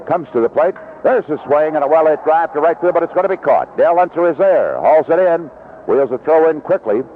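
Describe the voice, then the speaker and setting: A man calling a baseball game live as a play-by-play announcer, in 1970s radio commentary style from a ballpark. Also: a little high. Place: outdoor ballpark broadcast booth. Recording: lo-fi vintage AM radio broadcast, heavy noise. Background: loud stadium noise.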